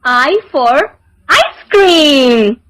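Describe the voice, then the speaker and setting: A woman narrating a children's alphabet lesson in a deliberate sing-song manner, enunciating each word. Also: sits high, expressive, fairly bright tone, a little hiss or hum.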